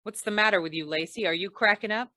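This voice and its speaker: A woman's voice: mid-range voice, fast, pushed and bright, no echo, lightly acted. A woman playing a wry, hard-boiled insurance investigator, narrating an old-time radio noir in slangy style. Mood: sardonic.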